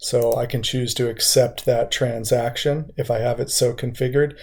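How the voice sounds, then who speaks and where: A man narrates an instructional software walkthrough at a middle pitch. His delivery stays even, with little melodic movement, and he explains each step methodically like a trainer. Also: normal speed, husky. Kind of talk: instructional screen-share walkthrough.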